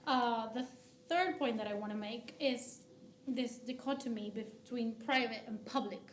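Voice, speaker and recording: A woman from Argentina giving academic commentary as a discussant, halting often with restarts and fillers. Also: fairly high pitch, echoey room, a little hiss or hum. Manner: hesitant, many restarts and fillers. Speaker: discussant at a book talk.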